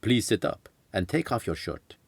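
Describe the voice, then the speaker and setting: A man voicing a calm, formal male doctor in his thirties who speaks in clipped, reassuring sentences. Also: on the low side, moderate pace, husky, no room sound, voice pushed up, exaggerated voice.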